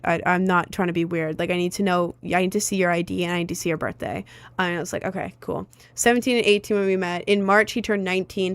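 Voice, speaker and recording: A woman's voice: medium pitch, no echo. A young woman, not yet twenty, talks loosely into a close phone mic on a livestream, answering viewers as thoughts come to her. Her voice sits in an ordinary middle register and sounds dry, with no room around it. She wanders through her sentences with plenty of "like" and "um". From United States. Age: young adult. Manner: rambling, chatty, lots of "like" and "um" fillers.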